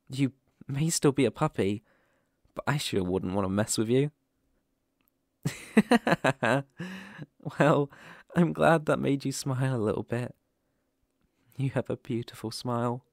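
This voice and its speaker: A British man playing a gentle, protective werewolf mentor in an ASMR roleplay, speaking softly, tenderly and reassuringly. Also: medium pitch, no room sound, studio quality, close to an everyday voice. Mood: warm, encouraging. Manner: soothing, unhurried, tender.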